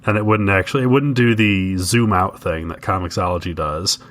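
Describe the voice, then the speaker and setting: A man's voice: deep voice, brisk, a bit bright. A man co-hosting a podcast, explaining things in casual conversation. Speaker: podcast co-host.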